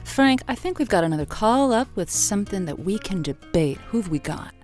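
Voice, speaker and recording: A woman's voice: mid-range voice, sing-song, moderate pace, darkish tone, faint music behind, lightly acted. A woman playing a playful, teasing radio advice-show host who rambles and hesitates, in a scripted audio drama.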